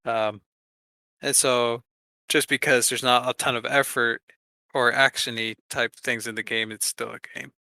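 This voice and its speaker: A man's voice: medium pitch, steady tone, measured pace, gravelly, sharp tone, no room sound, clean studio sound. A man co-hosting a podcast, chatting in an informal, rambling and jokey way. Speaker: podcast co-host. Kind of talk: casual podcast chat.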